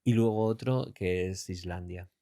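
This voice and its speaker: A man from Águilas, a guest in a casual podcast interview, talking in a relaxed, reflective way with drawn-out phrases. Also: low voice, no echo, studio quality. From Águilas, Murcia, Spain. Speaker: interview guest, training company founder.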